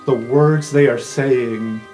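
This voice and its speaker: A man's voice: mid-range voice, steady tone, slow pace, a little mellow. A man narrating an improvised tabletop roleplaying game as game master. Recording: roomy echo under music. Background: music.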